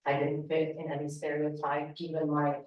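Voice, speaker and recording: A woman with a Palestinian accent answering as a panel speaker, thoughtful and measured, with pauses and fillers. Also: deep voice, monotone, highs cut off. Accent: Palestinian Arabic, Syrian-leaning. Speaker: panel speaker, political analyst. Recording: roomy hall, voice from far off.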